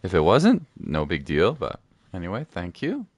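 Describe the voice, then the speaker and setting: An easygoing man commenting live on a game stream. His voice is low but moves around a lot in pitch. He chats casually and repeats little phrases, close and dry on the microphone. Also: huge pitch swings, moderate pace, darkish tone, no echo. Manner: easygoing, chatty, repeats himself.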